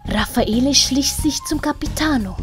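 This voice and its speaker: A woman's voice: sits high, moderate pace. A woman narrating a scripted story as an audiobook storyteller. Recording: music under the narration. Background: prominent plucked-string music.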